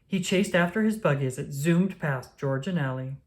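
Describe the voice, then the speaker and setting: A woman reading a children's story aloud, with expressive, deliberate phrasing for young listeners. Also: sits low. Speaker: storybook reader. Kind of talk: children's read-aloud narration.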